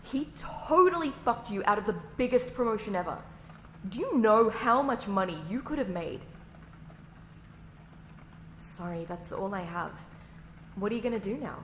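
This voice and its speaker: A woman's voice: mid-range voice, expressive, moderate pace, long pauses, reverberant, slight background noise, natural voice. A woman playing a sly, gossipy office colleague in an audio roleplay, blunt and conspiratorial. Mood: indignant, blunt.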